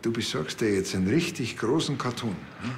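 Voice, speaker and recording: A man's voice: mid-range voice, lively intonation, a little fast, slight background noise, natural voice. An actor playing a cocky, scheming but naive village policeman with a Bavarian accent, in colloquial TV comedy dialogue.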